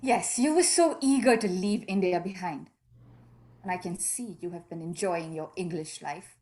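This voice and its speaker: A woman's voice: on the low side, moderate pace, mellow, close to an everyday voice. A woman playing a proud, composed young lady in a radio drama, speaking with polite formality. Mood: cool, reproachful.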